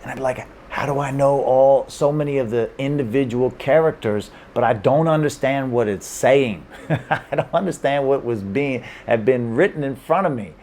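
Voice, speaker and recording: A man's voice: medium pitch, normal speed, darkish tone, a little hiss or hum. A man giving casual language-learning advice to camera, rambling and repeating himself with restarts and small laughs. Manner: rambling and repetitive, with many restarts and chuckles. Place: room in Hong Kong.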